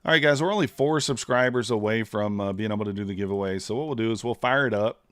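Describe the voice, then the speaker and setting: Low-voiced man thinking aloud. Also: fast talker, no echo, studio quality. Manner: relaxed, thinking aloud with many fillers. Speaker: streamer trading futures live. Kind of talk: casual live-stream commentary.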